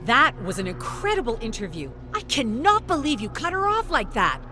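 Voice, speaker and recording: A woman's voice: on the high side, sing-song, normal speed, bright, forceful tone, soft background music, voice pushed up. A woman voicing a confident, polished and defiant female talk-show host in a dramatic story reading. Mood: furious. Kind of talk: dramatic audiobook character voice.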